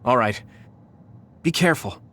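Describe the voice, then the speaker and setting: A man voicing a sincere, gentle and polite young hero in an anime-style video game. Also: medium pitch, lively intonation, measured pace. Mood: earnest.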